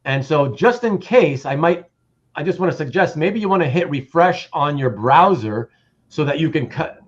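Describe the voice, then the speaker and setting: A man's voice: fairly high pitch, animated, normal speed, muffled recording. A man hosting a casual livestream about comic art, reading out chat comments and rambling with repeated words and self-interruptions.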